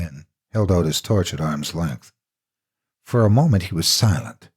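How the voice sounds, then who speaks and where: A man narrating a scripted horror story in a theatrical, deliberate and ominous manner. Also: lowish voice, slightly rough.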